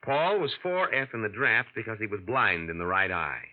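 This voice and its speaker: An actor playing a tense young man posing as an heir in a 1940s radio drama, in a low, guarded, clipped voice, on a dull old broadcast recording. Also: very animated, moderate pace.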